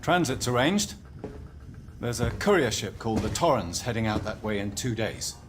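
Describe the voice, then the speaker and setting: Measured, low-voiced company man played by an actor. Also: animated, background noise, close to an everyday voice. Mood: calm, persuasive. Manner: measured, courteous, gently persuasive. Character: polite, measured male company android executive. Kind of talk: video game voice acting.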